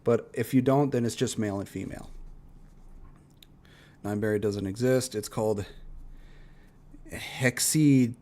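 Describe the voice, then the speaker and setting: A man's voice: medium pitch, moderate pace, pauses often, warm tone. A man giving casual, opinionated reaction commentary as a streamer, speaking bluntly and swearing freely. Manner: blunt, profane. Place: livestream reaction recording.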